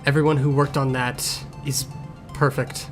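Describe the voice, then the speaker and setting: A man's voice: mid-range voice, level tone, measured pace, close to an everyday voice. Grumpy cat medic for a cartoon fandub, played by a man: dry, sarcastic, blunt, over prominent music. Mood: sincere, approving. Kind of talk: improvised-sounding voice-acted fan Q&A. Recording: music playing behind the voice.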